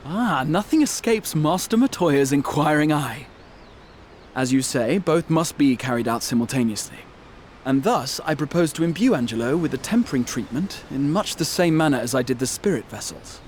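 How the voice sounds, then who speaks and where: Guy doing a polite eager young mage in a game, kinda high voice, formal talk. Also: animated, moderate pace, darkish tone, slight background noise, natural voice. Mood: courteous. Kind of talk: video game voice acting.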